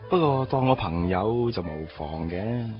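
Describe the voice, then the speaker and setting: A man playing a smooth-talking playboy suitor, coaxing and self-mocking in a radio drama. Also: fairly low pitch, animated, a little slow, faint music behind. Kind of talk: Cantonese radio drama acting. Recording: dull lo-fi radio recording.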